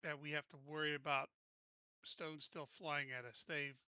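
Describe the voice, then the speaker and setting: A man's voice: on the high side, flat delivery, normal speed, sharp tone, smooth, dry recording, highs cut off. A man chatting casually as a player in a tabletop roleplaying game, asking questions as he goes.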